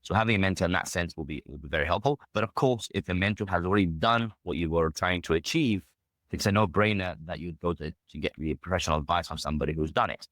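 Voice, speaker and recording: Fast-talking, deep-voiced British man. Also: a bit bright, dry recording. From United Kingdom. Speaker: podcast guest. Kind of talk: casual personal storytelling.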